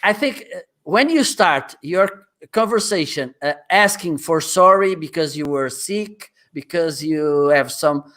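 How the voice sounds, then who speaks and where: A man from Portugal with a Portuguese accent hosting a live interview in a second language, halting and often restarting, and he laughs easily. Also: fairly high pitch, expressive, fairly bright tone. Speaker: host.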